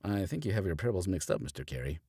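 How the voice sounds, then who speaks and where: Man reading a smartass buddy, deep and husky, dry. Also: very animated, racing, dark tone, dry recording, exaggerated voice. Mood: wry. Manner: dry, smirking. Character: wry sarcastic grown man companion.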